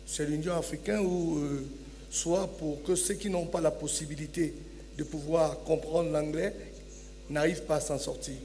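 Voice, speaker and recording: Man, fairly high voice, hesitant, lots of euh fillers, formal panel, hall reverb, hum. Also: fairly even intonation, moderate pace, dark tone. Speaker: business federation president panelist.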